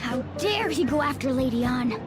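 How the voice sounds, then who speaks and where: A high-pitched little cat creature from an anime game, small but fiercely protective and easily indignant. Loud music plays behind the voice. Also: female voice, animated, normal speed.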